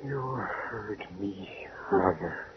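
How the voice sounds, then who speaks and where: A muffled, hissy old radio recording of a drowned son's ghost. He pleads in a low, mournful voice that drags slowly and slips toward a whisper. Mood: mournful pleading. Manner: slow, mournful, drawn-out. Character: ghost of a drowned, murdered son, sorrowful and pleading. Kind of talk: old-time radio horror drama.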